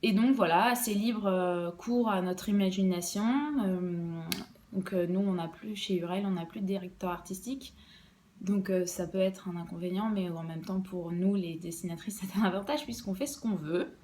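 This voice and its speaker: A woman's voice: on the low side, fairly even intonation, moderate pace, darkish tone, a little hiss or hum. A young woman, an embroidery designer, explaining her work in a casual podcast interview, hesitant and full of fillers and restarts.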